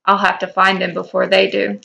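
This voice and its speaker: A woman reading the part of a skeptical, guarded man in fan fiction, doubtful and measured, on a slightly dull lo-fi mic. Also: mid-range voice, completely flat delivery, vocal fry, sharp tone.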